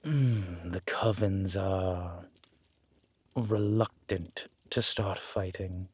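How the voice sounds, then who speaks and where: A man voicing a grave, protective, centuries-old immortal in a roleplay audio drama, speaking in a measured, formal and solemn manner. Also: deep voice, darkish tone, husky, voice pushed down, full character voice. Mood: solemn, guarded.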